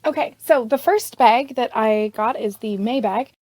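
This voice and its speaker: A young woman from Canada with a hoarse, scratchy voice from vocal strain, chatting casually to camera as a beauty vlogger, rambling with lots of fillers. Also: on the high side, unhurried, darkish tone, no room sound.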